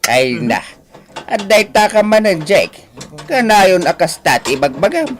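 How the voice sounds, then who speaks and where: Scolding old Ilocano father, high-pitched. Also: sing-song, a little slow, a bit bright, full character voice. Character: scolding, plain-spoken old father, exasperated with his son. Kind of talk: Ilocano radio drama acting.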